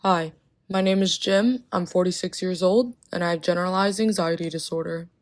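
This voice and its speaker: A woman's voice: on the low side, moderate pace, no echo, studio quality, natural voice. A young woman voicing a 46-year-old man who is a patient with anxiety, reading his lines plainly. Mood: calm.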